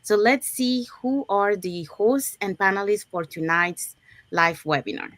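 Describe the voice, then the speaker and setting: A woman's voice: medium pitch, measured pace, a bit bright, no room sound. A woman from Panama hosting an online business livestream over a video call, upbeat and chatty with many fillers and restarts. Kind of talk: presenting a live webinar promo.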